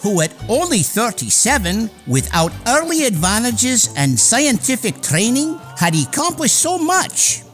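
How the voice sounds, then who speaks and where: A man acting an earnest, expressive immigrant inventor. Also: high voice, heavily acted. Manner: formal, dramatic storytelling. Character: Nikola Tesla, earnest proud immigrant inventor narrating his life. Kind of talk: radio theater scripted narration. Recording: music under the voice.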